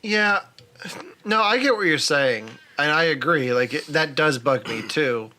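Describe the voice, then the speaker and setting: A man's voice: a little high, animated, a little slow, sharp tone. A man co-hosting a podcast, talking casually about films in a conversational style. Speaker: podcast co-host. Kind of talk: casual film discussion.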